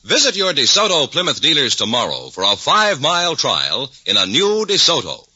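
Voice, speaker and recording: Forceful, animated American radio announcer man. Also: on the high side, moderate pace, slightly rough, no echo. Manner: polished, salesmanlike delivery. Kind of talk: 1950s radio announcing, scripted ad reads. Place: radio studio. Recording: dull, dry vintage radio broadcast.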